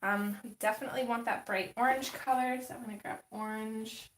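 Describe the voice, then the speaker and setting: A woman's voice: fairly high pitch, fairly even intonation, a little fast, a little hiss or hum. Chatty woman livestreaming in an echoey room. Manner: chatty, greets viewers by name, thinks aloud. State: getting over a cold with a slight cough. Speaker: livestream host. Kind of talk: casual livestream chat while coloring. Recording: roomy echo.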